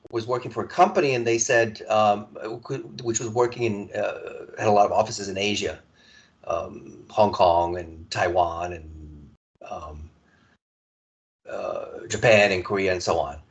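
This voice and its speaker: Low-voiced man with halting speech. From Serbia. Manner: halting, many restarts and repeated words. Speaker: podcast guest. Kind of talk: casual conversational storytelling.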